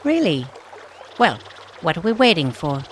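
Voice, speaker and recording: A woman acts a young maiden on a fantasy quest in an audio drama. Her voice is low, and she talks in a calm, practical, matter-of-fact way. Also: unhurried.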